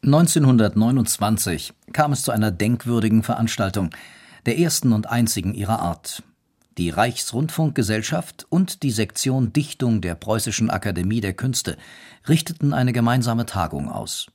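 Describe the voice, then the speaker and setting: Low-voiced male documentary narrator. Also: expressive, normal speed, darkish tone. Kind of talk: scripted narration.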